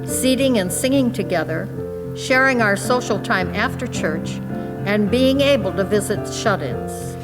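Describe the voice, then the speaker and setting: A woman's voice: mid-range voice, lively intonation, unhurried. A woman reading written church testimonials aloud as a member of a team. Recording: reverberant hall, loud music behind. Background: loud music.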